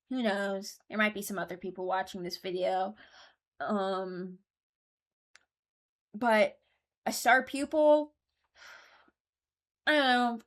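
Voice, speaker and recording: A woman hosting a casual reaction video, speaking informally and thinking aloud as she gives her opinions. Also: mid-range voice. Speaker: video host ranking game voice lines.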